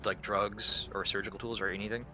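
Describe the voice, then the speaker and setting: A man's voice: lowish voice, flat delivery, a little fast, sharp tone. A man playing a tabletop game, chatting casually and piecing his questions together aloud in a hesitant way. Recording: prominent music behind voice.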